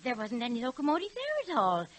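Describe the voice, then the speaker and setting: A fretful chatty old-time radio wife, high pitched, scolds and gossips. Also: female voice, wildly swooping pitch, quick pace. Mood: puzzled. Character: fussy, worried, talkative shopkeeper's wife. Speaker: radio drama actor. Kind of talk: 1940s radio comedy acting.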